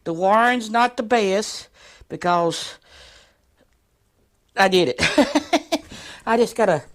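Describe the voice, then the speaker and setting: A folksy vlogger talks through a hands-on motorcycle project in a mid-pitched voice. The delivery is casual and rambling, with loose colloquial grammar and a jokey streak, as an informal progress update from a shed workshop. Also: slow pace.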